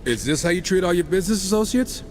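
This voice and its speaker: Cocky, lively horseman in cavernous reverb. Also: high voice, fast talker. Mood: sarcastic. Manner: sarcastic, flippant. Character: wisecracking, irreverent male gunslinger horseman, cocky and impatient. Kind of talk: video game cutscene acting. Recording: long hall reverb, music behind.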